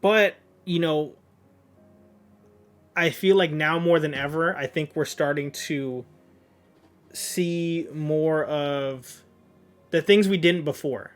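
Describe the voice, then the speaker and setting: A man's voice: on the high side, slow, pauses often, faint music behind. A young man streaming his reaction to a manga, rambling casually with lots of fillers. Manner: rambling, filler-heavy, restarts.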